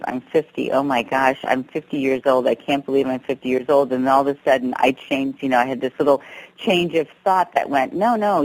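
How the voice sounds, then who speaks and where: A woman's voice: extremely low pitch, darkish tone, poor audio. A middle-aged woman, an author and professor, speaking as an interview guest and telling her story in an earnest, reflective way.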